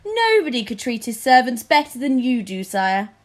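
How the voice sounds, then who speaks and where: A woman voicing an oily, obsequious male courtier who flatters his king in a storybook. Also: a little high, moderate pace. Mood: fawning.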